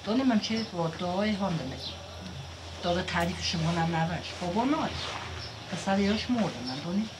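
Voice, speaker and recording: A woman baker speaking emphatically and with feeling while working in a village bakery. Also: fairly low pitch. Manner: emphatic, animated, emotional. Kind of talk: casual chat. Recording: loud background noise.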